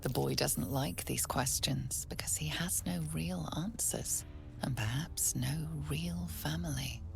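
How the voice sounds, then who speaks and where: An actress playing a solemn, ominous storyteller, narrating a fantasy game in a measured, hushed manner. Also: female voice, deep voice, gravelly, over music, exaggerated voice. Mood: grave, knowing. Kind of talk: scripted second-person game narration.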